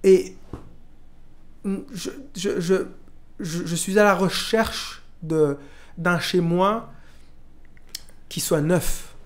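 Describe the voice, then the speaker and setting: A man in his forties from Rwanda, talking slowly and hesitantly with many restarts, recorded on a studio boom mic in a small room. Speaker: podcast host. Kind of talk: reflective conversation. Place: small room with pink walls, indoors. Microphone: studio mic on boom arm.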